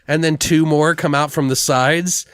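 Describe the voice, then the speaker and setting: A man co-hosting a podcast, casually brainstorming a comedic horror story in a crude, animated, sweary way. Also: a little high, unhurried, smooth, no echo, studio quality. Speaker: podcast co-host.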